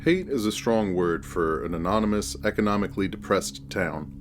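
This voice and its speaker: A man narrating a scripted first-person horror story in a measured, deliberate storytelling manner. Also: fairly low pitch, soft background music.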